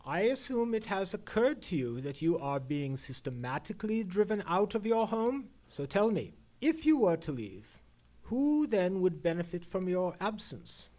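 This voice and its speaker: A man playing a shrewd gentleman detective in a live radio play, speaking formally and precisely as he questions. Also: sits high, sing-song, normal speed. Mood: keen, probing. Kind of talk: live old-time radio play acting.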